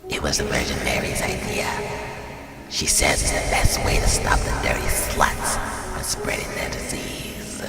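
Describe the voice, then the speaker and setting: A man voicing a deranged killer and psychiatric patient in a comic-book drama, hushed, halting and whispery. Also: extremely low pitch, little pitch movement, loud music behind the voice. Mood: eerie, hushed.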